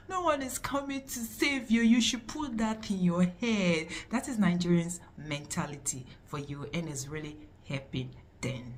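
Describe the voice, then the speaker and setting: A woman's voice: mid-range voice, expressive, a little slow. A woman co-hosting a casual vlog conversation, agreeing emphatically and explaining points at length.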